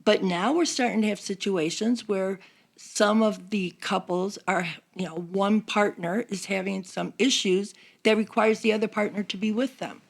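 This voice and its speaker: Older American woman with a creaky voice. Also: mid-range voice, steady tone, normal speed. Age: 60s or older. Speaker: church seniors' group member. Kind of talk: casual group conversation.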